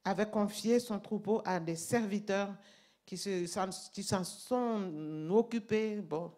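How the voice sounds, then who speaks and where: A woman preaching a sermon in French, which is not her first language, reading scripture and explaining it emphatically, sometimes rising in volume. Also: mid-range voice. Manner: slow, emphatic, rising to loud passages.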